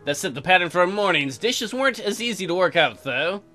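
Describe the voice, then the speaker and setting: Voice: mid-range voice, expressive, a little fast, bright, forceful tone, faint music behind. A let's play host reading game text aloud and breaking in with sarcastic, crude joking commentary.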